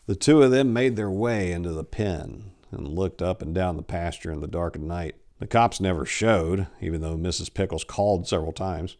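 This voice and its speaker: A man in his forties narrates a creepy tale in a fairly low voice. His delivery is theatrical and expressive, like a staged dramatic reading. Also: normal speed, hardly any pauses. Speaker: story reader. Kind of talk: dramatic reading of a horror short story. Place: indoors, book-lined room. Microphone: lapel.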